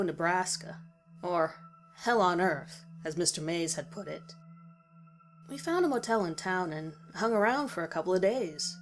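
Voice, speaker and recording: A woman reading a first-person horror story as a scripted narration. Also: on the low side. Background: music.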